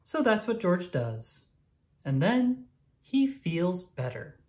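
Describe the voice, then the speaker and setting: A woman with a low-pitched voice narrates a children's picture book. Her intonation swings widely from phrase to phrase, and she delivers each line deliberately and expressively for young listeners. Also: very animated, darkish tone. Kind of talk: scripted read-aloud narration of a picture book.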